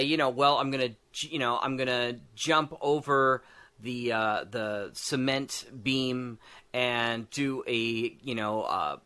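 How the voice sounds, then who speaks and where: A man's voice: mid-range voice, sharp tone, clean tone. A man chatting casually on a podcast about tabletop games, rambling as he thinks aloud and often checking that he is followed. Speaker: podcast participant. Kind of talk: casual discussion of tabletop game design.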